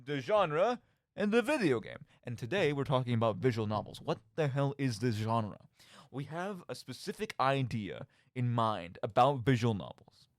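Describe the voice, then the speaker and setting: A man's voice: medium pitch, expressive, moderate pace, no echo, studio quality. A young man hosting a casual, rambling radio show in a playful, mock-dramatic, tongue-in-cheek manner. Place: radio studio. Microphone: studio microphone.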